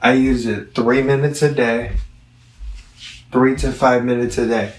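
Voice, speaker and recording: Slow-talking man in an echoey room. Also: mid-range voice, fairly even intonation, a little hiss or hum. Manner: halting, self-correcting, thinking aloud. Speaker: amateur product reviewer. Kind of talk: casual rambling product review vlog. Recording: roomy, echoing, distant from the mic.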